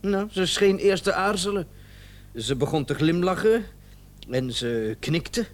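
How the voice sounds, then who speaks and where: A man's voice: high-pitched, lively intonation, a little slow. An actor playing a flustered, indignant and evasive male doctor in a radio drama, stammering and repeating himself when agitated. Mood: earnest, recounting.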